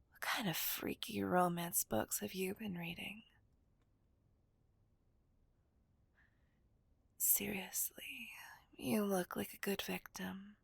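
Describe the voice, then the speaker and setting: A woman's voice: medium pitch, gravelly, husky, dry recording, heavily acted. A woman playing a dominant, taunting female villain in an ASMR roleplay, whispering breathily and possessively to a hostage. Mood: mocking, teasing.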